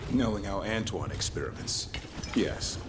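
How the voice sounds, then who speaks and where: An actor playing a steady, wry warrior companion in a fantasy game. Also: male voice, on the low side, unhurried, very noisy. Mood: wry, watchful. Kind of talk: cinematic fantasy game acting.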